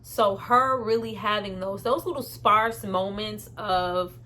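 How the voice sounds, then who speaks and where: A woman's voice: mid-range voice, slow, pushed and bright. Woman reviewing a film, noisy background. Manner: candid, opinionated, conversational, emphatic with slangy swearing. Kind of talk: casual spoken movie review.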